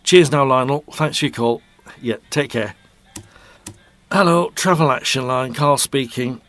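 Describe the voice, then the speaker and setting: A man's voice: mid-range voice, unhurried, a bit bright, lightly acted. A man acting in an audio drama as an irritable, overworked local radio travel presenter. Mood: businesslike. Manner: colloquial British speech, easily riled.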